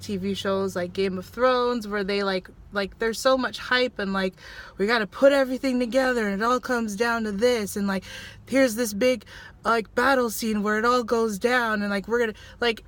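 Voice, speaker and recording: Higher-voiced woman chatting casually, haltingly. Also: fairly bright tone. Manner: halting, filler-heavy, trailing off and restarting. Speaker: vlog co-host reviewing a film. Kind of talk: casual chat.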